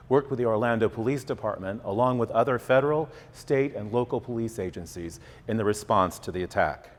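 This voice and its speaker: A man with a fairly low voice reads formal introductions as a ceremony host. He sounds solemn and scripted, and a long reverb trails his words like a large hall.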